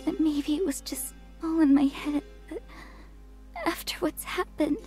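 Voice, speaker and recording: A woman plays a shy young girl in a visual novel, troubled and unsure of herself. The voice is high and mellow, with soft, breathy, hesitant speech that comes very slowly. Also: steady tone, soft background music. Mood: troubled, confused. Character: timid, troubled young girl, polite and uncertain. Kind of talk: visual novel dialogue read aloud.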